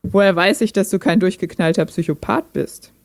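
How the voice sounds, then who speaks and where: A woman playing a gentle, guarded chatbot that talks like a human in an intimate radio play, thoughtful and understated. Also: mid-range voice, normal speed, creaky, no room sound, clean studio sound, close to an everyday voice. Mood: guarded, wry.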